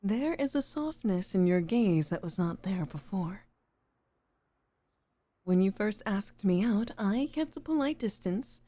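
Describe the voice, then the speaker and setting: A woman playing a composed, formal head maid as a devoted girlfriend in an intimate ASMR roleplay, speaking softly and often near a whisper. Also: medium pitch, expressive. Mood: affectionate, intimate. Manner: soft, measured, formal, often dropping toward a whisper.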